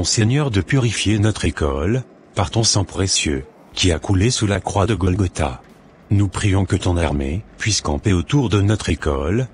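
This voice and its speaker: Very deep-voiced, earnest devout schoolboy son, played by a man. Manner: earnest, reverent, measured. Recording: noticeable background noise.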